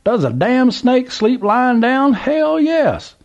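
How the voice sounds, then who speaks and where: A man putting on a squeaky excited old Southern codger. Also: slow, vocal fry, dull audio, full character voice. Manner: drawling, exclaiming, high and squeaky.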